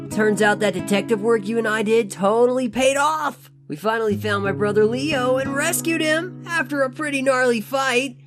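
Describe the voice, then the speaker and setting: A woman's voice: mid-range voice, lightly acted. A woman voicing a friendly, slangy teenage male mutant turtle in a comic dub, talking like a surfer dude as he narrates a letter. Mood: upbeat, chatty.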